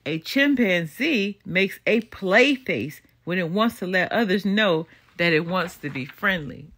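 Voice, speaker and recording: An older woman reading a children's nonfiction book aloud as a storytime host, in an expressive, deliberate way. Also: medium pitch. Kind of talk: storybook read-aloud narration.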